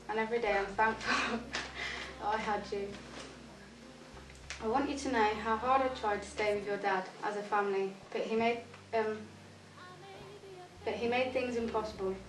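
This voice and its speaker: Teen mum, 19, talking earnestly, echoey room, noisy. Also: female voice, mid-range voice, fairly even intonation, moderate pace. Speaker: young mother. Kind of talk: personal testimony. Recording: roomy, distant, noisy.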